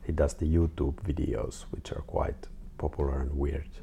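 A man in his forties with a Finnish accent and an extremely deep, mellow voice, over faint noise. He talks casually as an interview guest, in hesitant, halting phrases. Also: little pitch movement, gravelly. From Finland. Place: artist's studio.

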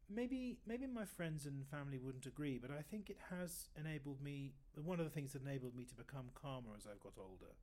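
A man's voice: lively intonation, a little fast, hardly any pauses, a little mellow. Rambling, chatty man in his fifties. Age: 50s. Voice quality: fairly high male voice. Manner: chatty, rambling storyteller with self-corrections. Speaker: guest oboist. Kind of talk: casual interview chat.

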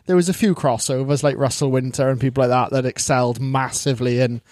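Middle-aged man, halting chat. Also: mid-range voice, fairly even intonation, darkish tone, no room sound, clean studio sound. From Wales. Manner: hesitant, many restarts and "you know"s. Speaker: guest, surfer. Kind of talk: casual interview chat.

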